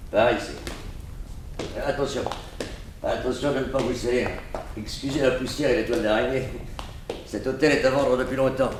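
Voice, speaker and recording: An actor playing a calm, coercive and sardonic blackmailer in a 1960s French radio crime drama. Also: male voice, lowish voice, background noise, lightly acted. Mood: smooth, confiding. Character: menacing male blackmailer, smooth crook in haute couture.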